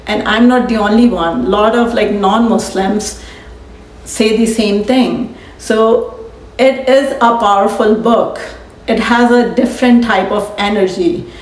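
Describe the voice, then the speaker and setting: A woman's voice: mid-range voice, darkish tone, far from the mic. Sincere woman in an echoey room. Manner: sincere, conversational, slightly halting. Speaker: host. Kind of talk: casual opinion vlog.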